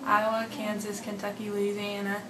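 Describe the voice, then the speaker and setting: A young woman from Arizona reading word lists slowly and flatly for a dialect study. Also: medium pitch, heavy background noise. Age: young adult. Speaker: study participant. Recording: echoey room, distant mic.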